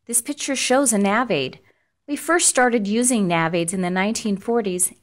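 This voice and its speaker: A woman with an American accent reading scripted instructional narration in a measured, formal, explanatory way. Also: mid-range voice.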